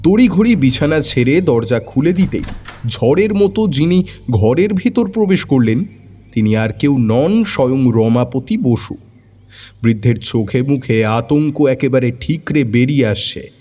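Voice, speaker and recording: A man narrating an audio story in the first person, in a dramatic, expressive storytelling style. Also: mid-range voice, unhurried, slightly rough.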